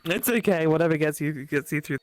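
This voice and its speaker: A woman's voice: low-pitched, brisk, a little mellow, no echo, poor audio. A woman co-playing and voicing a game, chatting casually with teasing mock exasperation and some swearing. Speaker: guest co-player and voice actor. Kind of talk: casual joking game chat.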